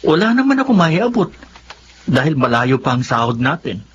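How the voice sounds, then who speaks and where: A man's voice: medium pitch, big pitch swings, normal speed, close to an everyday voice. A man with a Filipino accent playing a glib, carefree young office worker who lies easily in a radio drama. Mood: feigned sorrow. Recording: muffled audio with faint background noise.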